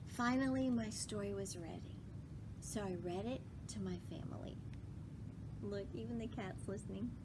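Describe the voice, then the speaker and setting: A woman reading a children's story aloud with emphatic phrasing, pausing to put questions to listeners. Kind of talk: expressive children's read-aloud with asides to listeners. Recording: clearly noisy with low rumble.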